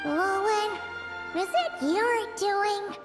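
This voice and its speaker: A woman's voice: very high voice, very animated, slow pace, over loud music, exaggerated voice. A woman voicing a small, childlike, skeptical fairy companion with a cute, questioning manner in an anime game. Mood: suspicious.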